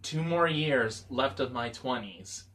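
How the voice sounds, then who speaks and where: A man's voice: medium pitch, animated, takes it slowly, a bit bright, poor audio. A man in his twenties talking casually to camera as a vlogger, rambling with lots of filler words. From Michigan, US. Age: 20s. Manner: rambling, many "you know" fillers.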